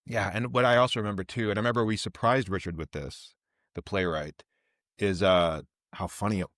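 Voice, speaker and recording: A man's voice: on the low side, steady tone, brisk, a bit bright, no room sound, clean studio sound. A man hosting an interview podcast, talking casually and reflectively, thoughtful and repeating phrases for emphasis.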